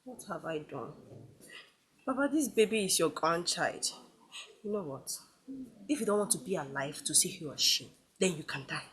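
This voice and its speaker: An actress playing a wounded but unyielding young daughter-in-law with a Nigerian accent, speaking in a deliberate, confronting way. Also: female voice, on the low side, animated, a bit bright. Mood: wounded defiance.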